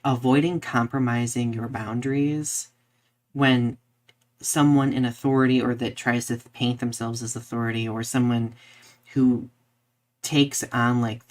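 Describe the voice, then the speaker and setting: A podcast host with a mid-pitched voice of ambiguous gender speaks conversationally. The delivery is reflective and articulate, addressed to a co-host and a live audience.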